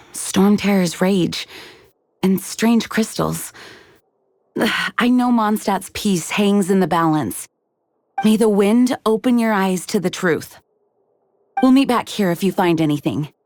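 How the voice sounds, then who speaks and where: A woman playing a dutiful knight commander in a game cutscene, measured and formal, sometimes sighing. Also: mid-range voice, darkish tone, a little hiss or hum. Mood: earnest.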